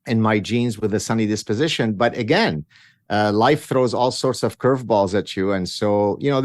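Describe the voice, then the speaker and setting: A man's voice: lowish voice, no room sound, clean studio sound. A man in his fifties from Lebanon, a guest telling stories of his childhood in a wry, self-mocking way with many restarts. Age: 50s. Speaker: guest author. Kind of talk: conversational interview storytelling.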